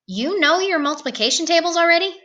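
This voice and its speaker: A woman reading a storybook, voicing a pompous, stern male school superintendent with a booming, questioning manner. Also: lively intonation, fast talker, sharp tone, lo-fi sound, full character voice. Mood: flustered, stern. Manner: booming, barking, interrogating.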